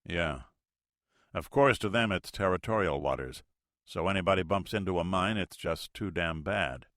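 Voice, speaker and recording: A man playing a blunt, gruff submarine captain. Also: sits low, a bit bright, no room sound, clean studio sound. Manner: blunt, profane, measured.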